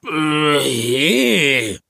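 A man voicing a huge, very old, tired bear for a children's audiobook, with a rough, weary voice and a muddled, grumbling manner. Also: fairly high pitch, very animated, pushed and bright, dry recording, clean studio sound, voice pushed up, full character voice. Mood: confused. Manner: slow, drawled, muddled.

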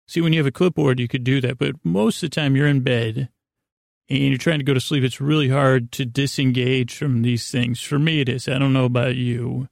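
A man hosting a bedtime podcast in a rambling, halting, goofy and self-deprecating way, with a creaky, gravelly voice. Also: medium pitch, fairly even intonation, no echo, clean studio sound. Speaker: podcast host.

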